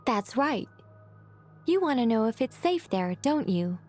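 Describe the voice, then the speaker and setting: A woman playing a wise, calm companion who speaks thoughtfully and gently guides others in a game cutscene. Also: on the high side, measured pace, faint music behind. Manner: thoughtful, reflective, gently guiding.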